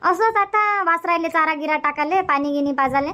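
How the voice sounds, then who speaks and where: Woman doing an older village grandma in a cartoon, high voice, repeats herself, varhadi marathi accent. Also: brisk, pushed and bright. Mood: chatty. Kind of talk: cartoon comedy dubbing.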